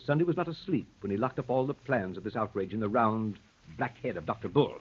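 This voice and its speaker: An actor playing a stern old professor in 1930s radio drama, speaking gravely and deliberately with a sardonic edge. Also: male voice, lowish voice, lively intonation. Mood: brisk, sardonic. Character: grim, stern old professor, a secret detective. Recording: dull lo-fi 1930s radio recording.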